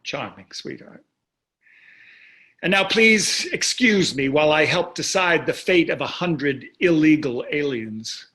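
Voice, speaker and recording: A man's voice: a little high, expressive, unhurried, pushed and bright. A man playing a smug, callous wealthy husband in a staged play reading, sardonic and condescending. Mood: sardonic, taunting.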